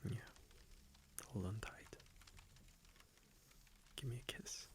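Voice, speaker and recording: A man playing a tender, teasing vampire boyfriend in an intimate ASMR audio roleplay, soft-spoken and breathy, with slow, lingering pauses. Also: lightly acted. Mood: tender, sleepy. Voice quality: breathy, whispery.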